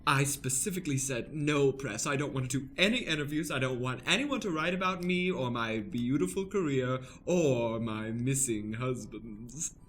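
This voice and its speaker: A man voicing a vain, flirtatious, faintly sinister airship hostess in an improvised comedic radio play, with theatrical, swooning, drawn-out delivery. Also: mid-range voice, expressive, a bit bright, slight background noise, natural voice. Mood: indignant, secretive.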